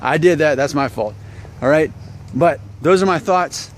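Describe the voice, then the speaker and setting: Higher-pitched man chatting over clear outdoor noise. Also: normal speed. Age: 30s. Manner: conversational, self-deprecating, rhetorical questions. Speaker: vlog host. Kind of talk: casual opinion vlog, talking to viewers.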